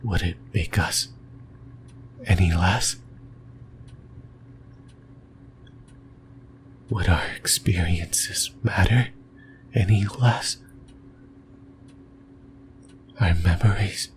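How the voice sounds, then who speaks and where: Man acting a slow, whispering, lonely demon. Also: low voice, background noise. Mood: yearning. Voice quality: breathy whisper. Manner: slow, halting, rambling, intimate. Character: lonely, possessive male sleep paralysis demon, musing and uncertain. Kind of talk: creepy whispered ASMR roleplay monologue. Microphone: close microphone, whispering into the ear.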